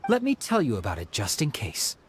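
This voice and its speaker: An actor playing a friendly, helpful young man in a game who shares leads, with an eager, expressive manner. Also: medium pitch, a little fast, a little hiss or hum. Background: game music.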